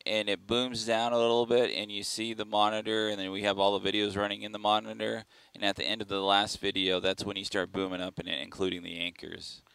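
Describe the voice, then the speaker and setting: A man's voice: lowish voice, level tone, a little fast, gravelly, few pauses, fairly bright tone, clean tone, no echo. A man working as a TV director, calling cues and chatting informally with crew. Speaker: TV director in control room.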